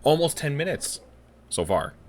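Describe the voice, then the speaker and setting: A man's voice: a little high, sing-song, moderate pace. A man giving casual, reactive commentary while playing a game, with wry, mock-aggrieved asides. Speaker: game streamer, let's play commentator.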